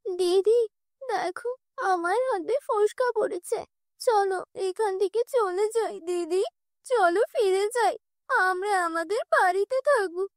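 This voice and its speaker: A woman's voice: extremely high pitch, measured pace, no room sound, clean studio sound, pitched above the performer's own voice. A woman voicing a small, plaintive orphan girl who complains sadly in a children's cartoon story.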